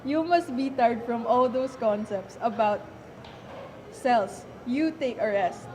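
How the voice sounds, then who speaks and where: A woman's voice: on the high side, slow, warm tone. A woman presenting scripted lines for an amateur biology puppet show. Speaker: student presenter playing a doctor. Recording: clearly noisy amateur recording.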